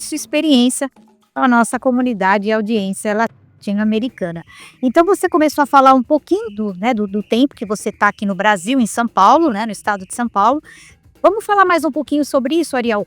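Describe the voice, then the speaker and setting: A woman's voice: a little high, no echo. A woman hosting a podcast, speaking in an upbeat way and often adding "né?" tags. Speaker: podcast host. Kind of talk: podcast host introduction.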